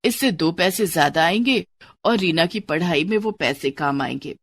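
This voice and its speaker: A woman voicing a gentle, worried mother from a poor family in a children's cartoon story, with a pleading manner. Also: lowish voice, animated, normal speed, slightly rough, dry recording. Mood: concerned.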